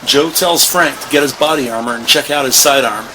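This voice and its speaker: Man, scripted drama narrator, medium pitch, noisy ambient background. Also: brisk. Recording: noisy ambient sound bed.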